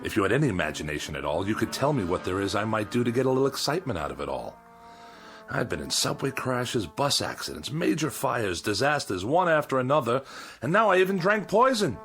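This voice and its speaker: An actor playing a bored, cruel immortal husband in a mid-century American TV drama, with a theatrical, scornful delivery and an American accent. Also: male voice, medium pitch, very animated, fairly quick, fairly bright tone. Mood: restless, boastful. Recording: vintage TV soundtrack with music.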